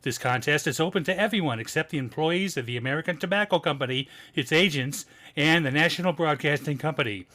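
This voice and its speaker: A man's voice: a little high, fast talker, few pauses, pushed and bright. A man playing a polished, hearty announcer in the style of 1940s radio. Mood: formal.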